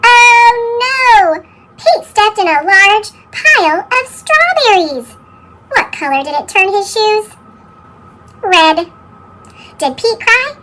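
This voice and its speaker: A woman reads children's picture books in an animated, question-and-answer storytelling manner, her voice altered with a sped-up chipmunk effect. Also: very high voice, takes it slowly, pauses often, noisy background. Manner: exclaiming, question-and-answer delivery for young children. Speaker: children's picture book reader. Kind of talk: animated storybook narration with sung refrains.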